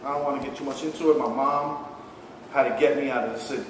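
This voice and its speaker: A man's voice: mid-range voice, steady tone, quick pace. A man, a podcast guest, telling his life story in a loose, laid-back way, laughing and swearing often. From born in Texas, raised in Colombia and New York. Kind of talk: casual chat. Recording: echoey room from far off, faint noise.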